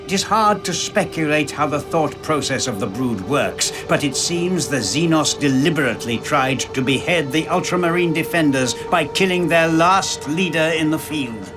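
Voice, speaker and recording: An actor playing a grave war chronicler, narrating a video game in a formal, portentous manner. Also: male voice, fairly high pitch, lively intonation, moderate pace, hardly any pauses, music in the background, natural voice. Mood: solemn. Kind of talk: scripted dramatic game narration.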